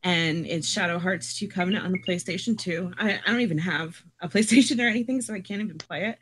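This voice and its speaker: A woman on a podcast panel sharing a personal story, speaking earnestly and haltingly with many pauses. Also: on the low side, a bit bright, a little hiss or hum, poor audio.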